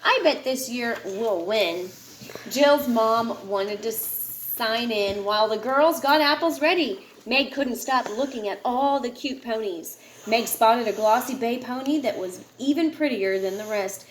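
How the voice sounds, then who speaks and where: A woman's voice: fairly high pitch, unhurried, reverberant, a little hiss or hum, rough recording. A woman reading a children's picture book aloud to a child, expressively. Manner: expressive, puts feeling into the dialogue. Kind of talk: read-aloud storytelling to a child.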